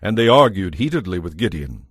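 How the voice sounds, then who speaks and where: A man narrating a scripted audio Bible as a measured, solemn storyteller. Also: deep voice, sing-song, breathy edge, dry recording, clean studio sound.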